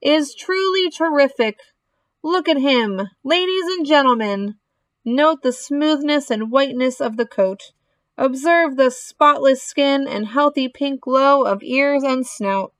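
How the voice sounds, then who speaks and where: A pompous fairground loudspeaker announcer played by a woman reading a storybook, pitched high and booming. The delivery is grandly formal and declamatory, with every phrase proclaimed ceremoniously. The sound is close and dry. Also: slow, dry recording. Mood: boastful, grand.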